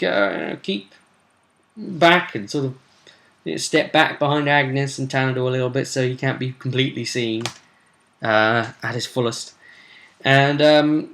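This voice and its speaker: A man's voice: mid-range voice, fairly bright tone. A man telling the story of a role-play session as game master, in an informal, slangy and mildly sweary way.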